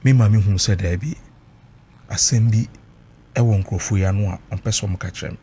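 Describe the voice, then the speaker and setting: A man's voice: on the low side, normal speed, dark tone, slight background noise. A Ghanaian man recounting personal experience emotionally, mixing Twi and English, emphatic and dramatic, repeating phrases for weight.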